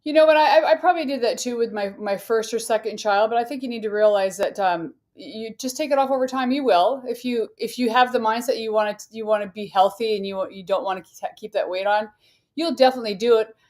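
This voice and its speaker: Fast-talking woman in her sixties. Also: on the high side, darkish tone. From Arizona. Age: 60s. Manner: upbeat, laughs easily, restarts words. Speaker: guest. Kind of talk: interview answers.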